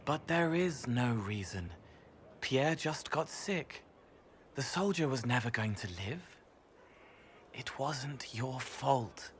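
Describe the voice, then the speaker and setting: An actor playing a gentle young male spirit who talks in soft, halting, dreamy fragments. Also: medium pitch, expressive, slight background noise.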